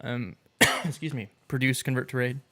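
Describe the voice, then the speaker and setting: Chatty male podcast host, hoarse from coughing. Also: mid-range voice, normal speed, bright, forceful tone. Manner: chatty, laughs often, rambling. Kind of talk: casual podcast chat.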